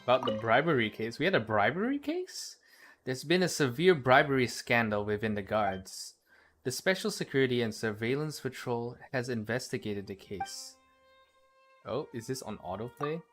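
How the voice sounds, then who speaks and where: A man's voice: medium pitch, sing-song, normal speed, a bit bright, soft background music. A man live streaming a video game, reading its text aloud and commenting casually.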